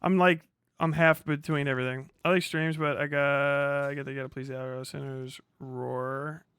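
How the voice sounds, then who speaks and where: A man's voice: medium pitch, level tone, moderate pace, fairly bright tone, smooth, dry recording. A man chatting casually on a livestream as he plays a video game, rambling in slangy, profane language. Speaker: live streamer.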